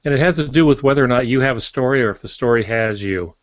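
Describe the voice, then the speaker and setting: A man's voice: medium pitch, fast, no echo. An older man chatting informally and without hurry as a podcast co-host. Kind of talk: casual chat. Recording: dull lo-fi audio.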